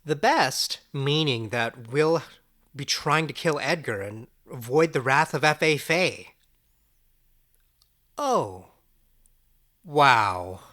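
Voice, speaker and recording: Audio drama role: hot-headed, sarcastic lover, blunt and teasing, unhurried pace, forceful bright tone. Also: medium pitch, animated. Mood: doubtful, worried. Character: hot-headed, sardonic, foul-mouthed lover of an occultist.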